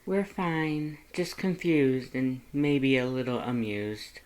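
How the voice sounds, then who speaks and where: A narrator doing a soft, soothing sweet boyfriend. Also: medium pitch, slow pace, close to an everyday voice. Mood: amused. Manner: soothing, amused, gentle.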